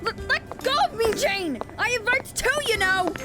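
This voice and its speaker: Loud tinkling music runs behind a woman playing a meddling, bossy teenage girl. Her voice is very high and she pushes and protests in a demanding way, as in a radio play. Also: expressive, normal speed. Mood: indignant. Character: pushy, indignant, meddling high school girl. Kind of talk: audio drama acting.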